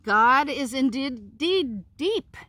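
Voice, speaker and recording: A wise old female turtle from a storybook, voiced by a woman reading aloud. She speaks brightly and gently, with solemn emphasis on every phrase and a reverent, assured temper. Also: high-pitched, expressive, slow, dry recording, pitched above the performer's own voice, heavily acted.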